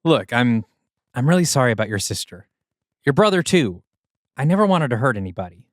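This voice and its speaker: A man's voice: mid-range voice, very animated, brisk, no room sound, clean studio sound, close to an everyday voice. A man voicing a nervous, wisecracking young male soldier who rambles and pleads. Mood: pleading.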